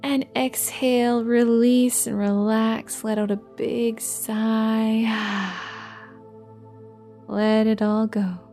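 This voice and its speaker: A soothing female meditation guide speaks in a soft, breathy, mellow voice. Her delivery is very slow and level, with long restful pauses. Soft new-age music with singing bowls plays quietly behind her. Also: on the high side, level tone.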